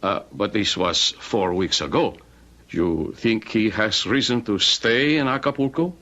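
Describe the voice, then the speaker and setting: An actor playing a polite, hesitant hotel desk clerk with formal, deferential manners in an old-time radio drama. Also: male voice, lowish voice, a little slow, sharp tone. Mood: helpful, hesitant. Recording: dull lo-fi radio drama recording.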